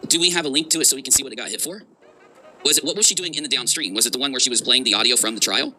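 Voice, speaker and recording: A man's voice: mid-range voice, rapid-fire, bright, forceful tone, faint music behind. A man hosting a livestream with mocking reaction commentary, sarcastic and emphatic in delivery.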